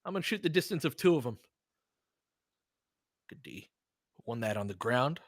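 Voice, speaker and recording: A man's voice: medium pitch, very animated, moderate pace, no echo, studio quality. A man doing casual live basketball commentary as a fan host, slangy and sweary, talking to the players.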